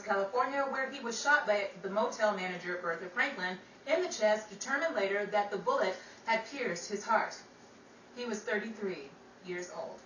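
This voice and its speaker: A woman's voice: medium pitch, a bit bright, lightly acted. A woman playing a chatty, wisecracking 1930s radio gossip gal in an old-time radio drama, with theatrical vintage patter. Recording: roomy, distant mic with faint noise.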